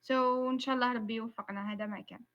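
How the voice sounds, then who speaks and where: A woman with a fairly high voice hosts a video-call session and rushes through her words, dropping in plenty of fillers. Her audio sounds dull and lo-fi. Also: fairly even intonation. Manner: brisk, with many filler sounds. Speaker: moderator. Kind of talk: hosting an online Q&A.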